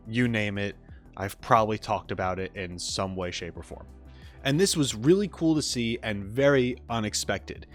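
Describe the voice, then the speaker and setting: A man's voice: on the low side, fairly bright tone. A man hosting casual reaction commentary, chatty and enthusiastic about what he is watching. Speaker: YouTube host and animator.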